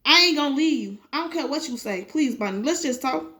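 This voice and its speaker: Cheating husband, stubborn and pleading, slow drawl, voiced by a woman reading a novel. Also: high-pitched, lively intonation, pushed and bright.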